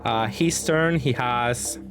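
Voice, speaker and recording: A man's voice: medium pitch, slow pace. A man giving casual gameplay commentary, thinking aloud in a hesitant way as he plays. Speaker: card game player presenting match commentary. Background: music.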